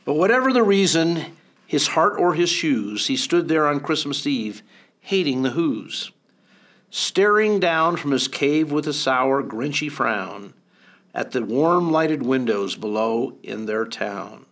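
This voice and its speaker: Man reading a storybook aloud, expressive. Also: fairly high pitch, slow pace. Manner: expressive, rhythmic storybook reading with swings in loudness. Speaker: mayor.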